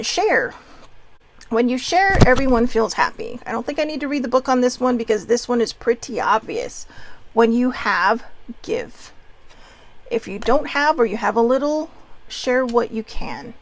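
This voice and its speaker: A fairly high-voiced woman in her thirties, originally from Hawaii, talks through an oracle card reading at home. She rambles off into personal asides and circles back to the cards. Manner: chatty and rambling, with asides and restarts. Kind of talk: casual spiritual card reading.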